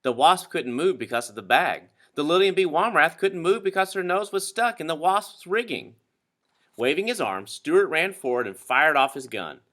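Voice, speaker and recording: Male children's story narrator, dramatic and animated, bright tone, slightly high register. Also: moderate pace. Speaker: storybook reader.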